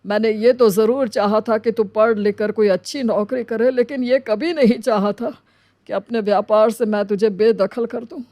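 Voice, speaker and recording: A woman's voice: fairly high pitch, fairly even intonation, normal speed, darkish tone, no echo, natural voice. A woman voicing an elderly father in a moral story, hurt and remorseful, pleading with emotion. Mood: guilty, sorrowful.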